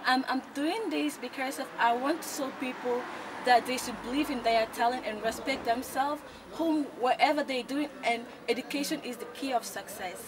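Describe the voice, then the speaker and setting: A twenty-year-old woman introduces herself as a pageant contestant. Her delivery is composed and steady, with a fairly high voice. Background noise hums throughout. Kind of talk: spoken self-introduction.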